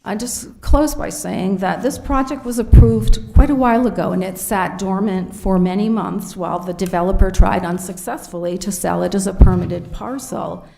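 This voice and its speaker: A woman speaks at a planning board meeting in a low, gravelly voice with a mellow, dark tone. She reads a prepared comment and poses one pointed question after another, steady and critical. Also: normal speed, hardly any pauses. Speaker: resident giving public comment. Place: city council chambers.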